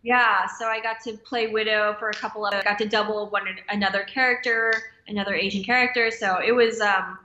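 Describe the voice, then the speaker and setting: A woman's voice: mid-range voice, fairly even intonation, fairly quick, hardly any pauses, sharp tone, roomy sound, muffled recording. A woman, an American stunt performer, chatting casually as a podcast guest, loose and easygoing with many "yeah"s and "like"s. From United States. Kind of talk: casual interview chat.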